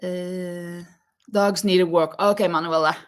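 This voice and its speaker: Slow, chatty Norwegian-born woman. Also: fairly low pitch, fairly even intonation. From Norway. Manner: chatty, counts stitches aloud, reads viewer comments, laughs often. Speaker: crochet livestream host. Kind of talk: casual live chat while crocheting. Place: home craft room with yarn behind her.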